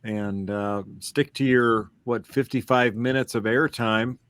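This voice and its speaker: A man's voice: medium pitch, fairly even intonation, unhurried, a bit bright, clear, resonant tone, no echo. A man hosting a casual podcast chat in a rambling, hesitant way. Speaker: podcast host.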